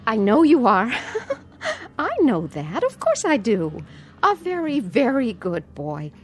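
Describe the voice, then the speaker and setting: An actress playing an anxious, doting aunt in a radio drama, sweetly coaxing and nervously repeating herself. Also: female voice, fairly high pitch, huge pitch swings, takes it slowly, full character voice. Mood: coaxing, placating. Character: anxious, doting aunt placating a feared nephew.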